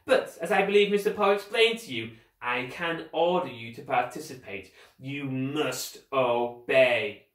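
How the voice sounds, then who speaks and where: A man's voice: on the high side, animated, moderate pace, a bit bright, echoey room, distant mic, pitched above the performer's own voice. A man voicing a sinister, controlling villainous count in a storybook, falsely polite, oily, theatrical and commanding. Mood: menacing, commanding.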